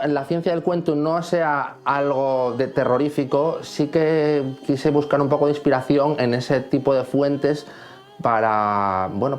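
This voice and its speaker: A man from Asturias, a composer reflecting on his own work in an interview and pausing to search for words. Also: medium pitch, darkish tone. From Asturias, Spain.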